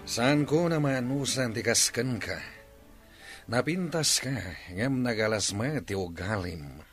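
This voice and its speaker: A man's voice: medium pitch, animated, takes it slowly, faint music behind. A young man acting a blunt single office worker in an Ilocano radio drama. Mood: scornful.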